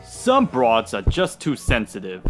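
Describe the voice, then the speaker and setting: A man's voice: mid-range voice, wildly swooping pitch, a little slow, a bit bright, faint music behind. A man playing a condescending, muscle-bound nightclub tough in a 1940s-style noir radio comedy. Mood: dismissive.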